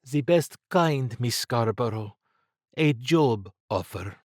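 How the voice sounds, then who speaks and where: Audiobook role: cool, sharp female secret agent played by a man, curt and commanding, pitched up from his own low voice. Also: lively intonation, slow. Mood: sly. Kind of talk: audiobook character dialogue.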